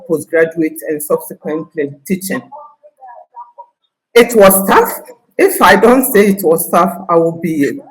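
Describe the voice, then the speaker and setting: An older woman from Ghana with a Ghanaian Ashanti accent touched by Scottish, telling of her years as a teacher in a confident, deliberate way. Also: lowish voice, poor audio. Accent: Ghanaian, Ashanti (Akan) with some Scottish. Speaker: guest, retired teacher. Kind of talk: interview conversation.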